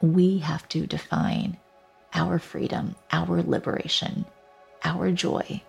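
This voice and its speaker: A reflective woman speaks slowly about her own life, pausing to search for words. Her voice is on the low side and very creaky, with a halting, thoughtful delivery. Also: little pitch movement, soft background music. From Taiwan and western Canada. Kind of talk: reflective personal narrative.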